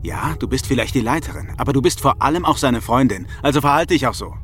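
An actor playing an angry, accusing husband on a space crew in an audio drama, confrontational and sarcastic. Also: male voice, medium pitch, lively intonation, fast, fairly bright tone, soft background music, close to an everyday voice.

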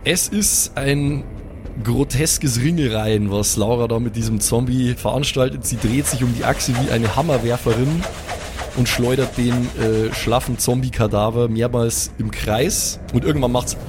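A man's voice: medium pitch, fairly even intonation, measured pace, background noise. A man improvising vivid, mock-dramatic narration as the game master of a tabletop role-playing game.

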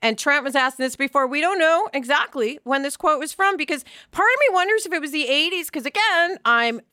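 A woman's voice: sits high, animated, fairly quick, bright, forceful tone, dry recording, clean studio sound. A woman co-hosting a podcast with casual, playful banter and discussion. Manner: playful, joking. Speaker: podcast co-host.

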